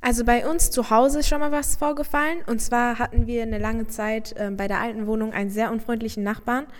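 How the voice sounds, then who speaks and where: A woman from Afghanistan, a mother, answering interview questions sincerely and in a measured way. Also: a little high, fairly even intonation, long reverb tail.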